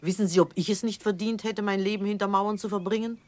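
An older actress in a German radio drama playing a severe, devout old aristocrat with a haughty, grave, theatrical delivery. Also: female voice, medium pitch, steady tone, fast talker, darkish tone, dry recording, close to an everyday voice. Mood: grave, bitter.

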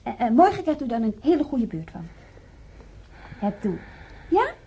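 A young hospital nurse in a naturalistic radio play. Her voice is high and mellow, with animated swings in pitch, and she is patient and cheerful with those in her care. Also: normal speed, slightly rough, heavily acted. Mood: reassuring, patient. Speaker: actress in a radio serial.

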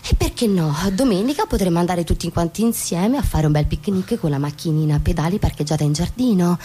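An actress playing an anxious young wife and mother in a radio drama, emotional and given to halting repetitions. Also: on the low side, lively intonation, dark tone, a little hiss or hum, lightly acted. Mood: exasperated, sarcastic. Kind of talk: stage-style radio drama acting.

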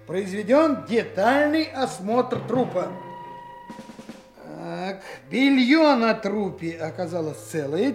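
A senior investigator from a Soviet radio drama. His voice is high for a man and slow-paced. He gives brisk, businesslike instructions and spells things out with patient authority. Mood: official, deliberate. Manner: brisk, instructing, deliberate dictation. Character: brisk male senior criminal investigator, commanding but patient. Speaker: actor.

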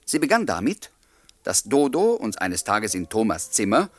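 A man's voice: lowish voice, huge pitch swings, normal speed, no echo, faint music behind. An actor playing a genial writer in his late twenties, narrating a radio drama and courteously confiding in his listeners. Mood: wry, genial. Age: 20s. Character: chatty, genial 29-year-old writer showing visitors around.

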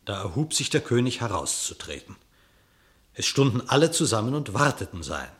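A man's voice: lowish voice, sing-song, moderate pace. A man narrating a classic radio drama in scripted verse.

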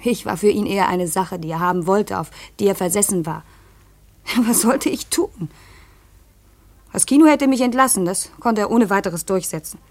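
Actress as hesitant, troubled young widow. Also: female voice, mid-range voice, normal speed, close to an everyday voice. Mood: sorrowful, confiding. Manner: hesitant, earnest, confessional. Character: troubled young widowed aunt, confiding and sorrowful. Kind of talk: 1950s German radio play acting.

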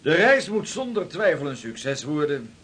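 Old-time ship captain in a radio play, careful n polite, sharp bright voice, slightly high. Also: male voice, big pitch swings, moderate pace, breathy edge, full character voice. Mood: matter-of-fact, cautious. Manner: formal, deferential. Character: cautious, respectful male ship's captain of centuries past. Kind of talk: historical radio play acting.

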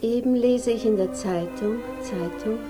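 Actress as wistful young widow, highish voice. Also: female voice, measured pace, natural voice. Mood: wistful, dreamy. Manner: evasive, tender, dreamily repeating phrases. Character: flustered, wistful young provincial widow and mother. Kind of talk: 1950s German radio play acting. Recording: 1950s radio recording under music.

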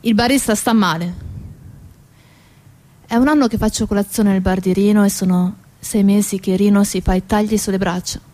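A woman's voice: medium pitch, steady tone, moderate pace, dark tone. A woman delivering a dramatic theatrical monologue as a stage storyteller. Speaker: stage actress and narrator.

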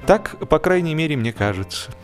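Male narrator with theatrical, drawn-out emphasis, music behind. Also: on the high side, sing-song. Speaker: audiobook narrator. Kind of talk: expressive humorous scripted narration, first person.

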